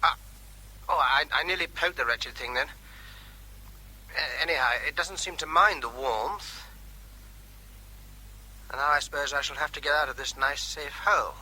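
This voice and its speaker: A man's voice: fairly high pitch, normal speed, pauses often, pushed and bright, slightly rough, exaggerated voice, poor audio. An actor playing a facetious, nervy army captain giving a running commentary as he works on a bomb, with faint noise behind, in a radio drama. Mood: jokey, nervy.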